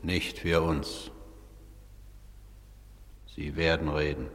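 An actor playing a solemn, stern judge of the underworld court in a German radio play, speaking with ceremonial weight. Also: male voice, rumbling low, little pitch movement, very slow, full character voice. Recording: long reverberant hall.

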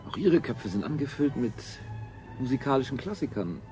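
An actor playing a cold, controlling scientist-ruler in a radio play, speaking in a clinical, formal, measured way. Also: male voice, medium pitch, big pitch swings, dark tone, faint music behind, close to an everyday voice. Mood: clinical, detached. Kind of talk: 1970s German radio play acting.

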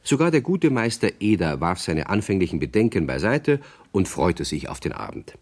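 An actor as the storyteller of a children's radio play, narrating calmly from a script. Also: male voice, low-pitched, moderate pace, heavily acted. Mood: measured storytelling.

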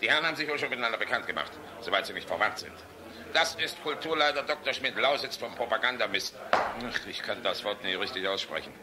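An actor in a German radio play voicing a jovial, irreverent, sharp-tongued middle-aged air force general. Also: male voice, lowish voice, animated, fast, crowd noise, lightly acted. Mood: sardonic. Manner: jovial, sardonic, blunt.

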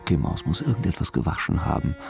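An actor playing a brooding, solitary investigator in a radio drama, musing intimately in short, halting phrases with a gravelly voice. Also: male voice, rumbling low, big pitch swings, heavily acted. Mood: intent, certain. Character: brooding solitary male investigator in inner monologue. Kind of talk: radio drama inner monologue. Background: accordion and violin music.

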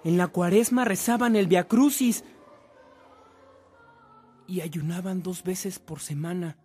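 A man with a Mexican accent narrating a saint's life in a scripted radio drama, in a measured storytelling manner. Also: high voice, fairly even intonation, darkish tone, soft background music.

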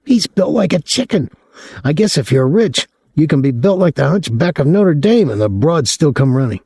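A man's voice: normal speed, husky, no echo, studio quality, full character voice. A man voicing a whiny, cheeky teenage boy in an audiobook reading. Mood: leering. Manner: whining, high and excited.

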